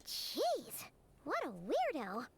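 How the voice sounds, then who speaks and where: A woman voicing a tiny, childlike, excitable and timid fairy companion in a video game, with a squeaky, expressive delivery. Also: extremely slow, full character voice. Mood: wary, chatty. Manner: squeaky, expressive, speaks in third person.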